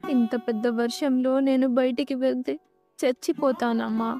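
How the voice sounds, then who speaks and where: A woman's voice: sits high, fairly even intonation, normal speed. A woman voicing a meek, wounded young daughter-in-law in a cartoon moral story, plaintive and pleading, with music behind. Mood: desperate pleading.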